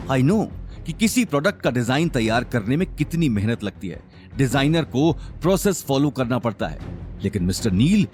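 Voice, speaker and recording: A man's voice: mid-range voice, lightly acted. A man voicing an angry, contemptuous company executive in an audio drama, scornful, emphatic and commanding. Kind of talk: Hindi audio drama acting.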